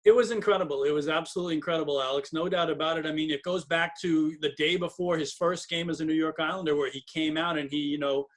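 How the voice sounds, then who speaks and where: A man's voice: a little high, fairly even intonation, fast talker, few pauses. A man working as a hockey broadcaster, giving emphatic, detailed and fluent sports analysis as an interview guest.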